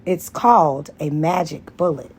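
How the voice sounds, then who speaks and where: A woman's voice: low voice, a little slow, slightly rough. A woman voicing a blunt, independent mistress who is smug and dismissive, in audiobook dialogue.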